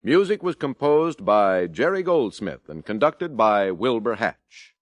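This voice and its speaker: A man's voice: on the low side, sing-song, a little slow, dry recording. An American man announcing in a polished, formal 1950s radio style, reading scripted credits and promos. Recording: old radio recording, orchestra behind.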